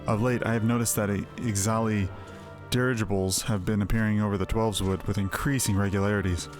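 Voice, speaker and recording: A man's voice: on the low side, steady tone, a little fast, over music, lightly acted. A man voicing a formal, courteous male stable master in a game who speaks in a courtly way. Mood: earnest.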